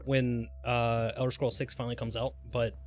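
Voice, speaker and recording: A man's voice: mid-range voice, little pitch movement, moderate pace. A man chatting casually and informally on a live gaming stream, speculating in a rambling way. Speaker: game livestreamer. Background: background music.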